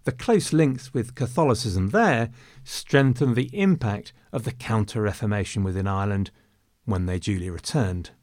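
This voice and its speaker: A man's voice: fairly low pitch, moderate pace, a little mellow, dry recording, studio quality. A man narrating history in a conversational way, with wry asides.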